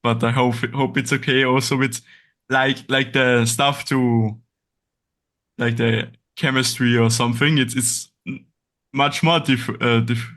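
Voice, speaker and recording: Austrian guy talking english slowly, stops and restarts a lot, not his first language. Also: mid-range voice, fairly even intonation, fairly bright tone. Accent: Austrian German. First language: German. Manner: halting English, searching for words, frequent restarts. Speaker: guest. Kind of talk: casual interview chat.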